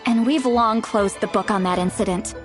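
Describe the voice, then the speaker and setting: A woman's voice: on the high side, normal speed, natural voice. Woman playing a nagging, scolding grandmother. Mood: exasperated. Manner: nagging, exasperated scolding with sighs. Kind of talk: video game cutscene dialogue. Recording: game music behind voice.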